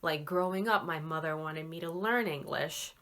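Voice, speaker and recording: Low-pitched young woman chatting casually. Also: moderate pace, fairly bright tone. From Puerto Rico. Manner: candid, informal, occasional swearing. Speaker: book vlogger. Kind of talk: casual chat to viewers.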